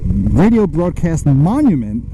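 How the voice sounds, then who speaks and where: Male vlog host, animated and chatty, recorded outdoors on a motorbike with clear vehicle noise. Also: a little high, measured pace. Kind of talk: casual motorcycle ride-along vlog. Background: engine, road and vehicle noise.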